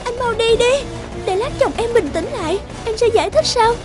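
A woman voicing a meek, ill-treated young village wife, pleading and submissive, in an animated folktale. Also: very high-pitched, moderate pace, over loud music.

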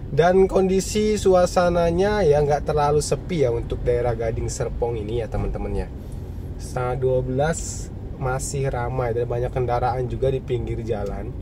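A man's voice: medium pitch, fairly even intonation, normal speed. A man narrating his night drive in a casual, chatty way, talking to viewers from inside a car. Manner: chatty, repeats "ya kan" and "teman-teman". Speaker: car vlogger. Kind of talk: casual narration while driving. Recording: clear car and road noise. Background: road and engine noise.

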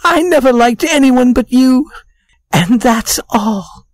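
A woman's voice: mid-range voice, expressive, measured pace, darkish tone, no echo, pitched above the performer's own voice. A woman voicing an anxious young woman in a storybook, pleading and earnest with her sweetheart. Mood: tearful confession.

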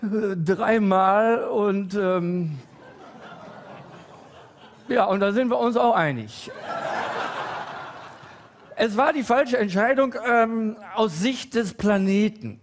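A man in his forties doing a stand-up comedy routine with halting, hesitant delivery and deadpan timing, full of pauses and fillers. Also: sits high. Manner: deadpan, growing excited and loud toward the end.

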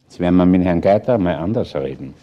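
An actor with an Austrian accent playing a composed, shrewd chief inspector in a radio crime drama, courteous but firm. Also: male voice, sits low, animated, fast, highs cut off, a little hiss or hum. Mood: reassuring.

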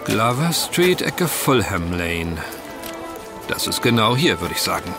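A man playing an unruffled, dryly witty gentleman adventurer in a German audio drama. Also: on the low side, sing-song, unhurried, vocal fry, exaggerated voice. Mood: dryly confident. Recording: music behind the voice.

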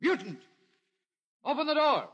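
Suspicious military officer, forceful and swinging in pitch, barking orders in vintage radio drama. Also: male voice, big pitch swings, unhurried, muffled recording, heavily acted. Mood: shouting, aggressive. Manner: commanding, scornful. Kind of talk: 1950s radio drama acting. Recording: restored 1950s radio broadcast.

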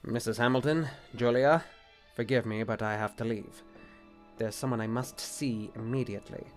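A man's voice: medium pitch, fairly even intonation, moderate pace, soft background music, lightly acted. A man playing a harried, doubtful bishop in an audio drama, reserved and hesitant in his speech. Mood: troubled.

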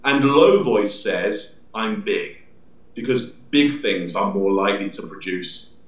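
A middle-aged man explains things with lively emphasis, gesturing and moving about as he talks. His voice sounds set back from the webcam in an echoey room. The audio is dull and lo-fi. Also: fairly low pitch, takes it slowly. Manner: emphatic, gestures a lot, stands and moves around, tags lines with "yeah?". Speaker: body language expert guest. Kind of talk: animated explanatory interview talk. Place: indoor room with large window. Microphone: video call, webcam at distance. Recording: roomy echo, distant, dull webcam sound.

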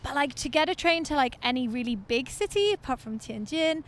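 A woman's voice: high voice, lively intonation, brisk, a bit bright. A woman hosting a casual podcast chat, asking questions and chiming in often. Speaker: podcast host.

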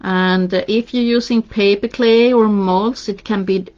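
Slow-spoken woman with a Swedish accent. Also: mid-range voice, steady tone, darkish tone, muffled recording. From Sweden. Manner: chatty, encouraging, reads out viewer comments while painting. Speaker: craft demonstrator. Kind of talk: live craft tutorial. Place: indoors at a craft table.

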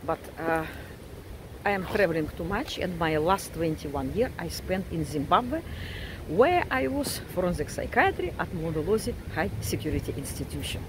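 Older woman, heavy Russian accent, lively up-and-down delivery, casual vlog into a phone by the sea, wind on the mic. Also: on the low side, normal speed. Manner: chatty, repeats words for emphasis. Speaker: vlogger, psychiatrist. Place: outdoors by a windy beach. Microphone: phone at arm's length.

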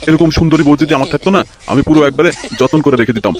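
A man's voice: a little high, rapid-fire, very noisy, close to an everyday voice. A man voicing a bossy, flirtatious local politician in a comic cartoon, often repeating his words in a gushing way. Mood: scolding, gushing. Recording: loud music behind the voice.

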